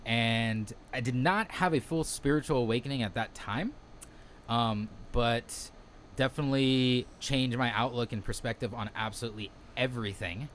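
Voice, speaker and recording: A man's voice: medium pitch, a little hiss or hum. A man in his thirties co-hosting a podcast, chatting in a loose, candid, rambling way that often trails off. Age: 30s. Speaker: podcast co-host. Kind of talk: casual chat.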